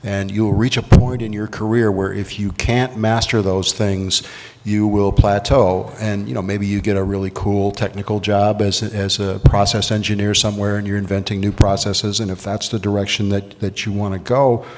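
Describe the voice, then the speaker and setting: Low-voiced man giving earnest advice. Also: level tone. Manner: measured, earnest. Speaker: panelist. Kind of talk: advice on a panel. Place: conference hall. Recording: roomy hall with faint noise.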